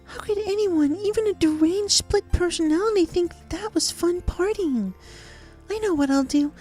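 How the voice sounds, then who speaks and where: A haunted, desperately upbeat girl pony from a cartoon fan story. The voice is extremely high and earnest, narrating a diary with faint music underneath. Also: normal speed, darkish tone. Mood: troubled, earnest. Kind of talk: dramatized diary reading of fan fiction.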